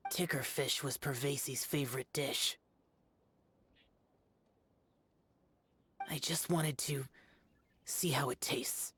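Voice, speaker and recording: Husky, brooding immortal warrior. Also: male voice, medium pitch, steady tone, a little slow, bright, forceful tone, heavily acted. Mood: reserved. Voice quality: husky, breathy. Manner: terse, solemn. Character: brooding, aloof young immortal male warrior spirit. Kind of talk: video game voice acting.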